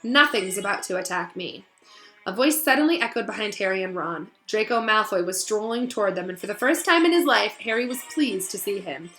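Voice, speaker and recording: A woman with a middling pitch speaks like a schoolteacher reading to her class. Her delivery is expressive and affectionate, aimed straight at children. Music is clearly audible behind her. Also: a little fast, few pauses, poor audio. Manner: addresses children directly, encouraging and affectionate. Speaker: teacher reading aloud to pupils. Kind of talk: expressive storybook read-aloud.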